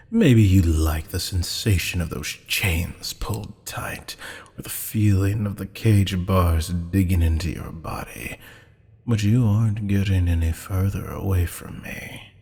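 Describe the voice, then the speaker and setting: A man's voice: sits low, a little slow, mellow, breathy edge, exaggerated voice. A man playing a teasing, flirtatious, menacing demon captor in an ASMR roleplay, purring pet names and slipping into half-whispers. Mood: coaxing, menacing. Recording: long hall-like reverb.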